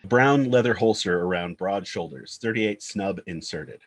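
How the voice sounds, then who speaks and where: A man's voice: deep voice, animated, a little slow. A man reading screenplay stage directions as narrator, in short clipped scripted phrases. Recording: guitar music bed under the voice.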